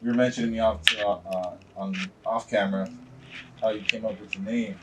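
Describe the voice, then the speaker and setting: A man's voice: on the low side, steady tone, brisk. A man hosting a casual beer pong chat, laid-back and slangy, teasing his guest. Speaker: host. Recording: distant mic with loud background noise.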